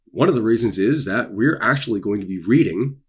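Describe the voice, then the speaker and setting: Husky, low-voiced theatrical young man. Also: lively intonation. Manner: theatrical, emphatic repetition, dramatic pauses. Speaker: author and video creator. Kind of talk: casual promotional vlog, dramatic delivery. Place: small dark room indoors.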